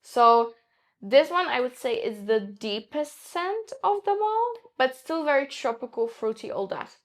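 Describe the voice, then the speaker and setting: A woman's voice: sits high, big pitch swings, a little slow. A young woman whose first language is Polish, chatting enthusiastically in a casual perfume review, with frequent fillers.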